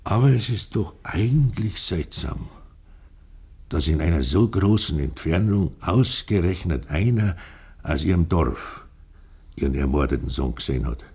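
An actor playing a polite male police inspector who questions in a formal, hesitant, measured way, in a 1950s German radio play. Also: low-pitched, expressive, warm tone, breathy edge, exaggerated voice. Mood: puzzled, tactful.

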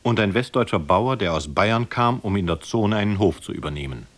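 A man's voice: lowish voice, animated, a little fast. A man reading scripted narration as the presenter of a 1960s German radio documentary.

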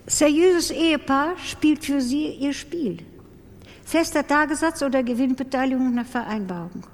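A woman's voice: sits high, moderate pace. A woman giving a scripted, dramatic literary reading for a radio drama. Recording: long reverb.

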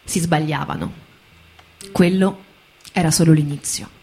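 A woman giving dramatic scripted narration for radio theatre, in measured, short phrases. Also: sits low, steady tone, a little mellow, husky. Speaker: stage narrator.

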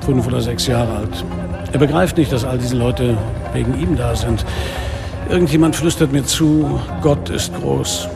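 An actor playing a weary, sardonic middle-aged police inspector, telling his story in a radio drama in a dry, measured, gravelly voice. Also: medium pitch, music in the background. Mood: grim, weary. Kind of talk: first-person radio drama narration and dialogue.

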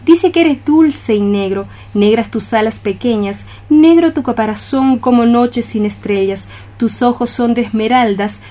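An actress playing a lovesick young maiden cockroach, lyrical and declamatory in a poetic radio play. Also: female voice, on the high side, measured pace, hardly any pauses, dark tone, lightly acted. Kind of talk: poetic radio theatre acting.

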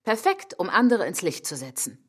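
A woman playing a sardonic commenting voice in a radio drama, husky, in short interjected lines. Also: mid-range voice, wildly swooping pitch, normal speed.

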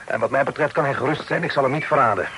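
An actor playing a courteous, forthright young gentleman in a Dutch radio play with formal old-fashioned delivery. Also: mid-range voice, racing, natural voice. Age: young adult. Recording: old radio play recording, background noise.

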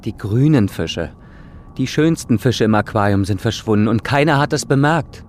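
A man's voice: mid-range voice, expressive, moderate pace, slight background noise, lightly acted. An actor playing a brooding, insistent man in a radio drama. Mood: troubled.

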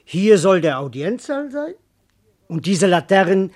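A man's voice: sits high, sing-song, slow pace, a little mellow, full character voice. An actor playing a cowardly, boastful male servant in a German classical verse radio drama, declaiming pompously and muttering asides. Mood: self-important.

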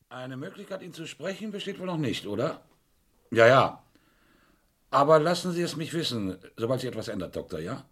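An actor playing a shrewd, sardonic police inspector in a crime radio play, wry and teasing with suspects. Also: male voice, mid-range voice, a bit bright, slightly rough. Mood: probing, wry.

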